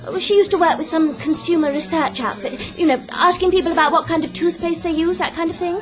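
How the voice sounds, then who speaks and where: A woman's voice: very high-pitched, fairly even intonation, fast talker, over music. An actress in a radio drama playing a chatty, gossipy young woman with a girlish manner. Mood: hesitant, gossipy.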